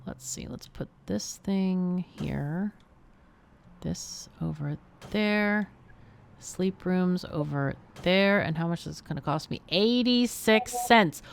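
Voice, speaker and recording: Lively, low-voiced woman chatting through a game. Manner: thinks aloud while playing. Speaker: host of a game livestream. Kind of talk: casual gameplay chat.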